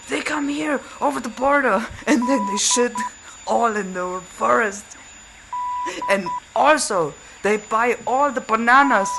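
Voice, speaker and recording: Higher-voiced man in heavy noise. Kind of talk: casual anecdote. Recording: very noisy recording with clicks.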